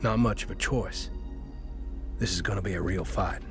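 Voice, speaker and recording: An actor playing a wary former gang outlaw in a video game, rueful, halting and fatalistic. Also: male voice, low-pitched, music in the background. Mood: troubled, wary. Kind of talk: video game character dialogue.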